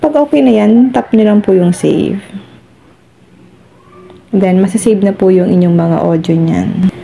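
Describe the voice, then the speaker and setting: A woman's voice: mid-range voice, unhurried, gravelly, warm tone, slight background noise. A Filipino woman hosting a step-by-step phone tutorial, addressing viewers politely as she gives instructions. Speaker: tutorial host.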